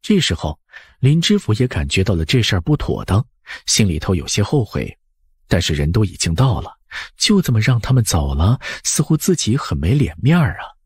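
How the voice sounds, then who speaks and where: 一位男性有声书播讲人，用富有表现力的方式照稿讲述故事。. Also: male voice, fairly low pitch, sing-song, moderate pace, breathy edge, no room sound. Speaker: audiobook narrator. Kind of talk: expressive scripted story narration.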